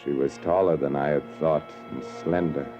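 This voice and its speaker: A man's voice: a bass voice, fairly even intonation, moderate pace. A man playing a cynical, world-weary newspaper columnist, narrating with wry, brooding literary delivery in 1940s radio noir. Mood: uneasy, intent. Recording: muffled 1940s radio broadcast, music underneath.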